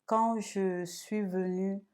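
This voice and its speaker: A woman's voice: mid-range voice, steady tone, very slow, a little mellow, clean tone. A woman giving reflective advice as a video host, hesitating and repeating phrases as she goes. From Togo. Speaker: video host and women's wellbeing guide. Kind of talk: advice vlog talk.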